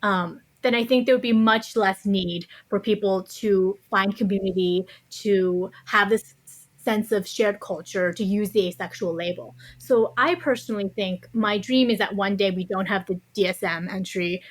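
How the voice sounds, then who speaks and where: A woman's voice: mid-range voice, normal speed. A woman speaking as a podcast guest, explaining her views conversationally in a thoughtful, carefully qualified way. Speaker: interview guest, author.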